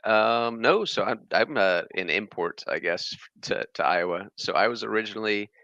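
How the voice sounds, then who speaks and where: Rambling male guest, 30s, very deep but bright tone, dry, slightly dull mic. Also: moderate pace, no room sound. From born in Germany, raised across the US. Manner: rambling, many fillers and restarts. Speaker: guest, fish channel creator. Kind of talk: casual interview chat.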